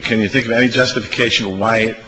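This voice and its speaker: A man questioning a witness at a hearing as a legislator, halting and repeating himself as he reasons. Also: lowish voice, steady tone, sharp tone, poor audio. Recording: clear background room noise.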